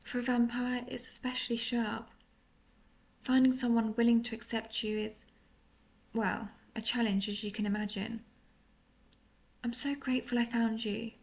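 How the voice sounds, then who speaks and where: A woman voicing a tender, melancholy centuries-old vampire lover in an intimate audio roleplay, speaking softly and confessionally, at times nearly whispering. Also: fairly high pitch, little pitch movement, moderate pace, long pauses, lightly acted. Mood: melancholy, lonely. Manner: soft, confessional, partly whispered.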